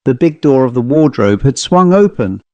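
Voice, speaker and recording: A man's voice: medium pitch, animated, moderate pace, darkish tone, no echo, highs cut off, natural voice. A man voicing a plain-spoken travelling salesman telling a grim storybook tale in a dry, colloquial, conversational manner. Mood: grim, frightened. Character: haunted male commercial traveller, plain-spoken teller of grim tales. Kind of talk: dramatised storybook monologue.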